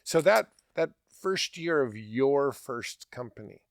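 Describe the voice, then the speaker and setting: A man's voice: medium pitch, lively intonation, takes it slowly, no echo, clean studio sound. A middle-aged man hosting a podcast, encouraging his guest and summing up his points. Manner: affirming, frequent backchannel murmurs. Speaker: podcast host. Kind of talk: interviewer, encouraging.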